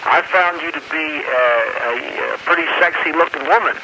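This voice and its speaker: A man of forty making a hesitant, casual fan call to a live radio show. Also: on the high side. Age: 40s. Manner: hesitant, halting. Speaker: radio phone-in caller. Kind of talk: casual fan chat on air. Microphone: telephone. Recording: noisy phone call on radio cassette.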